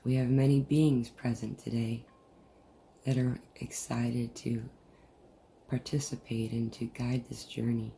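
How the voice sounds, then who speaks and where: A person leading a guided meditation in a soothing, deliberate manner with long pauses, recorded over a video call. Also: medium pitch, little pitch movement, gravelly, mellow. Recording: noisy, muffled group video-call audio.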